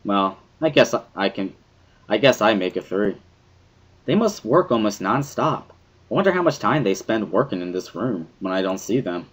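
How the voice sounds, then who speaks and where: A man reading first-person visual novel narration in a measured, reflective way, as an amateur dramatic reading. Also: fairly low pitch, very animated, breathy edge. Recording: music behind the voice.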